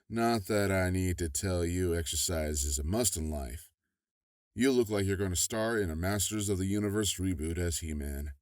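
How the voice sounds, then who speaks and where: Man playing a deep-voiced folksy government official. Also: measured pace, dry recording, studio quality. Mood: affable. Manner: affable, persuasive, chuckling. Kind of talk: audio drama acting.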